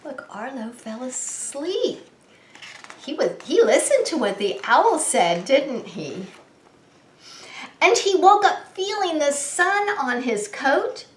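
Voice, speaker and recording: Female preschool story time host, animated and drawn-out storybook delivery, roomy echoing recording. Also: high voice. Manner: dramatic, drawn-out emphasis for small children. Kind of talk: expressive children's storybook reading.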